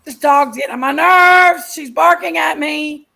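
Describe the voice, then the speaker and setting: A woman with a loud voice, joking in a casual livestream chat. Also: high voice, a little slow. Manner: loud, joking, gravelly chatter.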